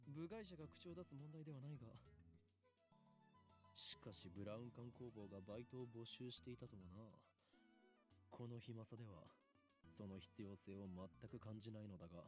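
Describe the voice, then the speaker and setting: Rapid-fire, grandiose young mad scientist played by a man. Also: mid-range voice, music in the background, natural voice. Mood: indignant, dry. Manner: theatrical, pompous, melodramatic. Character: grandiose, paranoid eighteen-year-old self-styled mad scientist, male. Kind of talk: Japanese visual novel voice acting.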